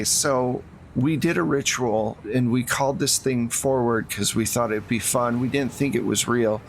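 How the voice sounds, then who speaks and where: A man's voice: medium pitch, close to an everyday voice. A man acts out a scared suburban woman caught up in a cult, in an improvised tabletop game. The voice stammers and pleads, repeating words nervously, with noticeable noise behind it. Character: frightened suburban woman cultist, panicky and pleading.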